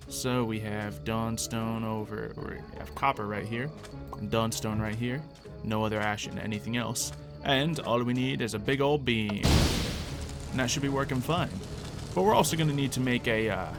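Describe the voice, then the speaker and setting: A man giving casual, chatty gameplay commentary as a let's-player, thinking aloud as he goes. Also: mid-range voice. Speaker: video game let's-player.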